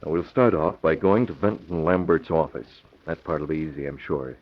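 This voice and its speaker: A man's voice: a bass voice, normal speed. A man playing a commanding, shrewd masked crime-fighter in clipped, decisive old-time radio acting. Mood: calm, decisive. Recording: dull, lo-fi old radio recording.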